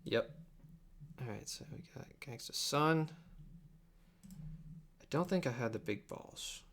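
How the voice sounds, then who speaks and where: A man's voice: mid-range voice, animated, takes it slowly, creaky, a bit bright. A young man chatting casually on a livestream while he works, mumbling and thinking aloud in a distracted way. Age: young adult. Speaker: livestreamer editing an abridged anime dub. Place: indoor home computer setup. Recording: faint keyboard and room noise.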